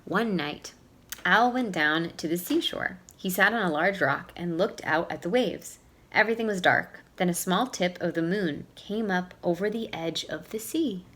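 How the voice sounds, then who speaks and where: A woman reading a storybook aloud to young children, expressive and playful, in a teacherly way. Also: on the low side, normal speed. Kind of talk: read-aloud storybook narration with questions to young listeners.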